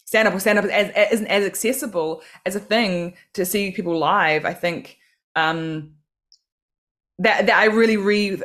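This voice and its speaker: A woman's voice: fairly low pitch, fairly bright tone. Chatty, laughing woman from New Zealand. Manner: chatty, self-deprecating, laughs often. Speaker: comedian guest. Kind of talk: casual podcast interview.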